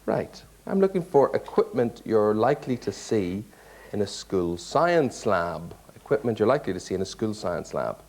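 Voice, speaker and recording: Low-voiced teasing game show host man. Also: sing-song, darkish tone. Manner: chatty, teasing, explains rules slowly.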